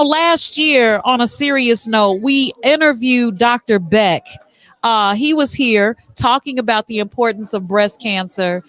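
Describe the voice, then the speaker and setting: A woman's voice: fairly high pitch, a bit bright. A woman talk show host chatting informally and playfully as she interviews people on location. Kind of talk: casual on-location interview chat. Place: on location backstage at a theater. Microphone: handheld or stand mic with headsets.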